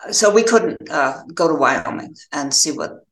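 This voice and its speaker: An older American woman giving reflective interview answers as a novelist, in a halting, thoughtful way with pauses mid-phrase. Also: low-pitched, expressive, fairly bright tone, roomy sound, poor audio.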